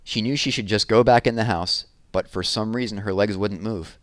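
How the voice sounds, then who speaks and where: A man reading a children's novel aloud with expressive storytelling. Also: on the low side, moderate pace, no room sound. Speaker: reader.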